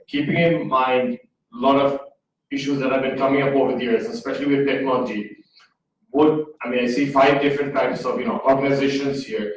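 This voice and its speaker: A man's voice: mid-range voice, a little hiss or hum. A man in his thirties, an award holder, asking a panel a question from the floor in a casual, somewhat rambling way. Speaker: audience member, award holder. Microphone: handheld microphone. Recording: echoey hall, distant mic.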